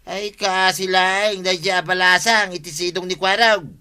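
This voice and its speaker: A man's voice: high-pitched, fairly even intonation, moderate pace, bright, forceful tone, clean tone. An actor with an Ilocano accent playing a meddlesome, whiny village man in a radio drama. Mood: defensive, scheming.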